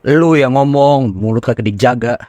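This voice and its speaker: A woman's voice: extremely low pitch, fairly quick. A woman with an Indonesian accent giving casual, blunt, slangy gameplay commentary. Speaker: gaming streamer. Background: soft game music.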